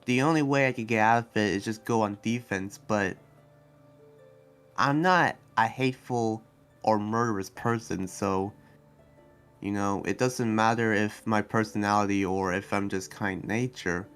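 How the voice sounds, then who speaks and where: A man's voice: fairly low pitch, unhurried, a bit bright, clean tone, soft background music. A man playing an earnest, protective demon fighter in an improvised anime game roleplay, talking in a hesitant, rambling way. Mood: troubled, earnest. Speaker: roleplay series player.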